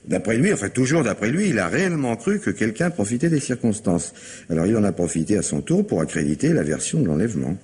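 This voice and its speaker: A raspy, animated policeman. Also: on the low side, fast talker, full character voice. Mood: wry, exasperated. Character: police colleague, sceptical, exasperated, reasoning things through. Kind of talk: French radio mystery play acting.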